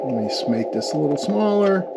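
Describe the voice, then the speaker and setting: A man with a fairly high voice walks through a technical demonstration in an informal, hands-on way. He explains step by step and often checks that the listener is following. There is clearly audible noise behind his voice. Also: a little fast. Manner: explanatory, checks in with "okay" and "right". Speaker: amateur radio experimenter demonstrating equipment. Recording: clear steady background noise.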